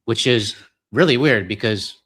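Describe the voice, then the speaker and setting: A man's voice: mid-range voice, unhurried, a bit bright. A middle-aged Canadian man with a Canadian accent chatting casually on a live stream, rambling and self-deprecating with lots of fillers. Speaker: co-host reading donations.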